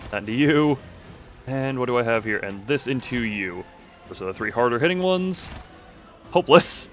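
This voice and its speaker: A man's voice: mid-range voice, expressive, moderate pace. A man giving casual live game commentary, thinking aloud in mutters and sudden exclamations as he talks to himself and his chat. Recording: music playing behind the voice. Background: game music.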